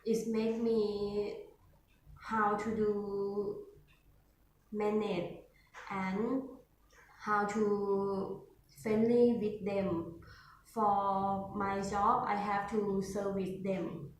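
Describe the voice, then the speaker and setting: Slow halting woman with a Thai accent. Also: medium pitch, flat delivery, a little hiss or hum. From northern Thailand. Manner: halting non-native English with pauses. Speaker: test candidate. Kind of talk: speaking test answers. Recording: echoey room, voice from far off.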